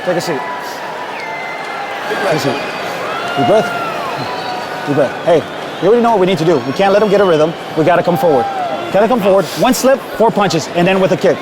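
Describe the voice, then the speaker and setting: A man with a high voice coaches a fighter between rounds, repeating short, urgent commands. The arena crowd is extremely loud around him, with heavy background noise. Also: animated, fairly quick, pauses often. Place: cornerside in a large indoor arena.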